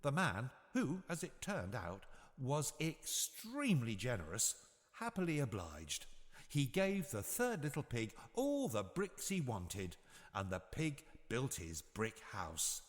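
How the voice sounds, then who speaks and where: A man narrating a scripted bedtime story for children in an expressive, measured storytelling manner. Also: medium pitch, in a large hall.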